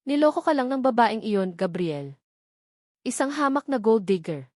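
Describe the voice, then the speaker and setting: A woman's voice: fairly high pitch, expressive, moderate pace, a little mellow, no echo, studio quality, lightly acted. A woman with a Filipino accent voicing a haughty, angry rich mother in a dramatic storybook narration. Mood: scornful. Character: haughty, angry rich mother scorning her son's lover.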